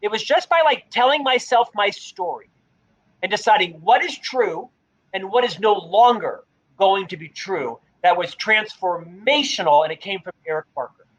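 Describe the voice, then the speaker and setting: A man's voice: high-pitched, moderate pace, highs cut off. A middle-aged man who has a stutter, telling stories energetically as a guest in an interview. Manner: energetic storytelling with a mild stutter. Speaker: podcast guest, leadership author. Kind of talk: conversational interview.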